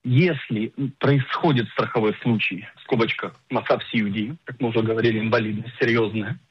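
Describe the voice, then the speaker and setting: A middle-aged man explaining things as an expert guest in a radio interview, didactic and emphatic, pausing to search for words. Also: on the low side, steady tone, husky, no echo. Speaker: insurance agent and financial planner, radio guest. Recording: dull lo-fi radio sound.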